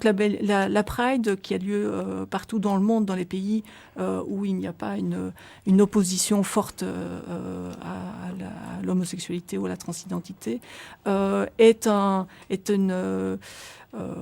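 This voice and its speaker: A woman's voice: medium pitch, gravelly, a little mellow. A middle-aged woman, guest on a radio interview, explaining at length with many hesitations and restarts. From Belgium. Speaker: association volunteer guest.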